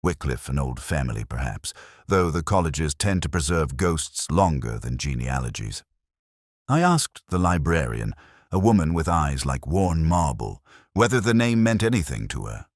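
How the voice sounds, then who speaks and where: Very deep-voiced man narrating a detective story. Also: sing-song, no echo, clean studio sound. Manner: measured, solemn, reflective. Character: melancholy, erudite male English police inspector narrating his case. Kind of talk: scripted literary detective narration.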